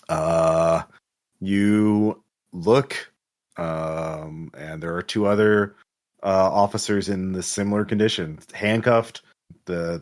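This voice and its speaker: A man narrating an improvised tabletop game as game master, halting and pausing mid-sentence. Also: deep voice.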